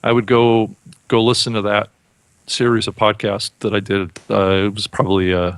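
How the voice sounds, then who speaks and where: A man hosting a podcast, talking in a casual, halting way and repeating words as he thinks. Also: on the low side, level tone, smooth, no echo. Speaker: podcast host. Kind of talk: casual chat.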